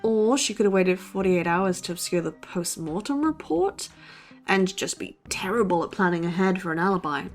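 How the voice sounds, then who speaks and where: Dry, skeptical young actress as a college sleuth. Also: female voice, fairly low pitch, expressive, moderate pace, faint music behind, close to an everyday voice. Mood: analytical. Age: young adult. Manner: dry, sardonic, analytical. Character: dry, skeptical young female college true-crime podcaster. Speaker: podcast co-host. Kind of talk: scripted banter in a horror audio drama.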